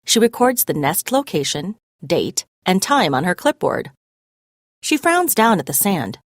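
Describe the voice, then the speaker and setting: A woman reads a children's story aloud in an expressive, measured style. Her voice sits on the low side and moves through lively swings of pitch. The recording is close and dry. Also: no echo. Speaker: read-aloud narrator.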